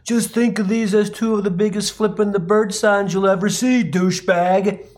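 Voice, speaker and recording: Man putting on a snide, vengeful heckler, pitched up. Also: steady tone, normal speed, a little mellow, close to an everyday voice. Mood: snide, taunting. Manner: snide, taunting, profane. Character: snide, vengeful man with nubs, battering drivers.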